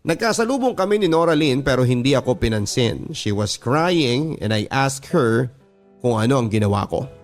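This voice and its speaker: A man's voice: mid-range voice, expressive, normal speed, soft background music. A man with a Filipino accent reading a first-person story as a radio drama narrator, in a sentimental manner.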